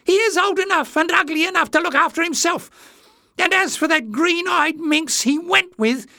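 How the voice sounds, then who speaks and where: A man voicing a whining, cowardly little schemer in a shrill, squeaky, spluttering storybook voice. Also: moderate pace, bright, forceful tone, dry recording, clean studio sound, full character voice. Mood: indignant screeching. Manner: spluttering, whining, melodramatic pleading. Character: whining, cowardly small male schemer, screechy and wheedling. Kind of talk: acted character voice in audiobook narration.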